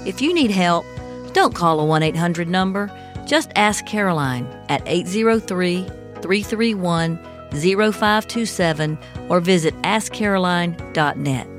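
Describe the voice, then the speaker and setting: A woman voices her own radio ad in a smooth, reassuring sales pitch. Her voice is somewhat low for a woman. A faint music bed plays underneath. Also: lively intonation, measured pace. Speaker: insurance advisor in an ad. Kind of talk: radio ad read.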